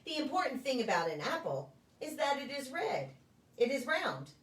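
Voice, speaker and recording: A woman reading a children's picture book aloud in a deliberate, sing-song storytelling way for preschoolers. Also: sits high, sing-song. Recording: echoey room, distant microphone.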